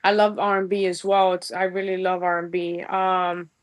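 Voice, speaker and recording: A woman's voice: fairly low pitch, flat delivery, moderate pace. A young woman from New York with a New York accent, gushing in a casual podcast interview and mixing in street slang. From New York, USA. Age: young adult. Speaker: guest musician.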